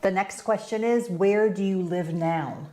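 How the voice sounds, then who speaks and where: A woman's voice: medium pitch, unhurried, warm tone. An older American woman co-hosting a casual question-and-answer chat, upbeat and chatty as she leads the questions. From United States. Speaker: co-host.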